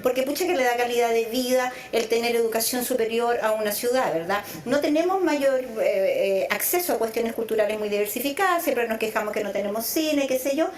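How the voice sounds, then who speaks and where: Reflective psychologist, echoey room. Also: female voice, a little high, a little fast, hardly any pauses. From Chile, raised in Venezuela. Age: middle-aged. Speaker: psychologist guest. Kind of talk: conversational interview.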